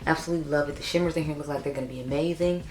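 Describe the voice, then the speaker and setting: A young woman with a raspy voice, chatting casually and upbeat as she reviews makeup for her vlog. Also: low voice, steady tone, very fast, a little hiss or hum. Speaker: beauty YouTuber. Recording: echoey room.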